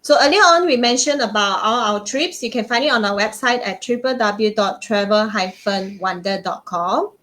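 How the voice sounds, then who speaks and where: A woman's voice: medium pitch, moderate pace, hardly any pauses, a bit bright. A woman co-hosting a travel livestream, upbeat as she reads out announcements. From Singapore. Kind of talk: casual live-stream chat.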